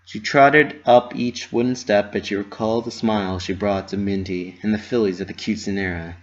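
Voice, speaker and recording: A man's voice: on the low side, fairly even intonation, normal speed. A man giving a dramatic scripted reading of a fan fiction story as its narrator. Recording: muffled lo-fi home recording.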